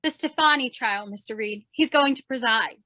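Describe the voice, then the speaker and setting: A woman playing an eager, brash, excitable young news photographer in an old-time radio drama. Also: a little high, moderate pace, dry recording. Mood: insistent. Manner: excitable, slangy.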